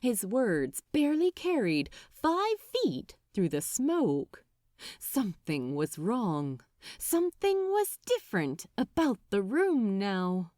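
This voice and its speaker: A woman narrating scripted fiction dramatically, with theatrical, deliberate, suspenseful phrasing. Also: medium pitch, huge pitch swings, dark tone, no room sound, clean studio sound. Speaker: audiobook narrator.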